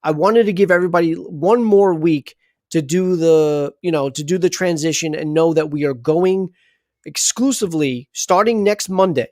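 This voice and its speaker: A man's voice: fairly high pitch, normal speed, a little mellow, dry recording, studio quality. A man hosting a casual sports livestream, talking in a rambling, chatty way with many restarts.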